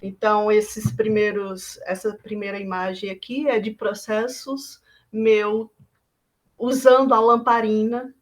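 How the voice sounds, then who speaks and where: Artista mulher brasileira, fala pausada. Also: female voice, medium pitch, level tone, low-quality recording. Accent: Brazilian Portuguese. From Bahia, Brazil. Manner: reflective, halting, with many fillers and restarts. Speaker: visual artist. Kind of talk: artist talk presenting her work.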